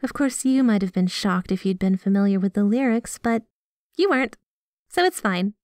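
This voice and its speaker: A woman's voice: medium pitch, normal speed, a little mellow, no echo, studio quality, lightly acted. A woman playing a sweet, devoted, jealous yandere girlfriend in an ASMR roleplay, speaking with affectionate, playfully possessive manner. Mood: fondly nostalgic. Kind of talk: ASMR roleplay, scripted one-sided conversation.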